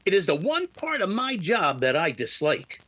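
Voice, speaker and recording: A man playing a stern, formal police inspector in a 1950s-style radio drama, speaking with measured authority. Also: high voice, wildly swooping pitch, a bit bright, heavily acted.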